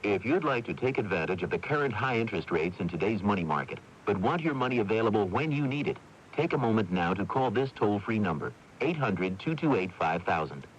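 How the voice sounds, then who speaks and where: A male announcer delivers an emphatic, persuasive radio commercial. His low voice moves with lively inflection. The 1980s recording sounds muffled. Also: a little fast. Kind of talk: scripted 1980s radio advertisement. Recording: muffled, faintly hissy 1982 radio tape.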